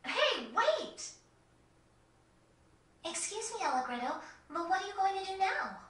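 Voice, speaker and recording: A woman voicing an excitable, bossy, dramatic little girl in a video game. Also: very high-pitched, unhurried, exaggerated voice. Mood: teasing. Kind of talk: video game cutscene voice acting. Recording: echoey, slightly distant game audio.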